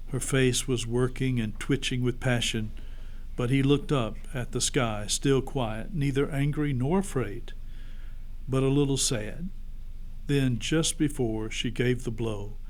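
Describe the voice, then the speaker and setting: A man's voice: mid-range voice, poor audio. A man narrating a story expressively, as a pastor reading aloud to children.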